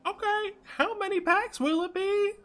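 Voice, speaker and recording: Squeaky, pleading cookie seller. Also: male voice, steady tone, unhurried, a bit bright. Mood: pushy. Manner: pleading, wheedling.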